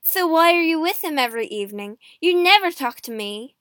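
A woman's voice: on the high side, lively intonation, a little slow, dry recording, clean studio sound. A woman voicing a jealous, sneering young royal husband in an audiobook story, petulant and mocking. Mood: jealous, taunting.

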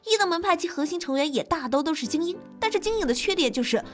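A woman's voice: very high-pitched, lively intonation, quick pace. A woman host reading scripted gaming narration in a playful, dramatic storytelling manner. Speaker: game show host and streamer. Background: background music.

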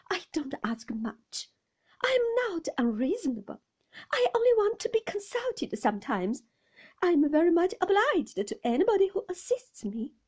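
A woman's voice: very high-pitched, a little mellow, voice pushed up, heavily acted. A woman voicing a timid, girlish young wife in an audiobook, faltering and plaintive as she pleads. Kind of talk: audiobook character acting of a classic novel.

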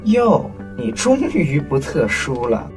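A woman's voice: low voice, big pitch swings, slow pace, recorded from a distance, over music, voice pushed down, full character voice. A woman voicing a jealous, mocking sheep with a sneering drawl in a children's audio story.

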